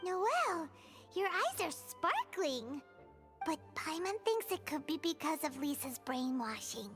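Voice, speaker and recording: A tiny fairy sidekick from a video game, with a very high, childlike voice. The delivery swings up and down in lively curves, blunt and inquisitive, with sharp brightness. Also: female voice, unhurried, faint music behind, full character voice. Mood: teasing. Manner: inquisitive, exclaiming, speaks of self in third person. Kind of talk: video game character dialogue.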